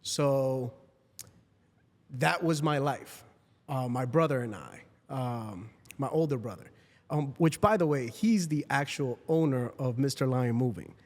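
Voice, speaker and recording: A man's voice: mid-range voice, a little slow, darkish tone. A man in his thirties from Ecuador, raised in Queens, chatting casually as a podcast guest. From Ecuador, grew up in Queens, New York. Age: 30s. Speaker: podcast guest, real estate entrepreneur. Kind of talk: casual chat. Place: indoor podcast studio. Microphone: close boom-arm studio microphone. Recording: roomy studio with long reverb.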